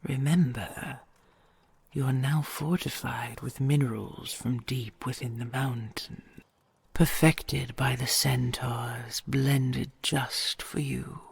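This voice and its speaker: An ancient dragon queen played by a woman murmurs in a slow, heavily creaking voice, very breathy and husky. She is imperious yet doting, with a possessive tenderness in a regal, formal manner. It is an intimate audio roleplay performance, close and dry. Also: very deep voice, no echo, exaggerated voice. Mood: soothing, tender. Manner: slow, regal, formal endearments with soft sighs.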